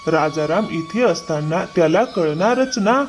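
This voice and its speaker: Cartoon role: pliable, slow-witted husband played by a man, very varied intonation, over music. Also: fairly high pitch, animated. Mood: uncertain, conspiring. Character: grown son, pliable and dim, in family drama. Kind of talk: dramatised cartoon moral tale.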